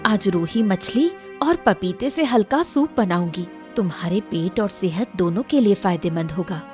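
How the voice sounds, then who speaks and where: A firm, caring wife from a cartoon, voiced by a woman. Her voice sits at a middling pitch as she scolds and coaxes insistently, over faint music. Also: animated, moderate pace, a little mellow, lightly acted. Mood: firm, coaxing. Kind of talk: Hindi cartoon dialogue.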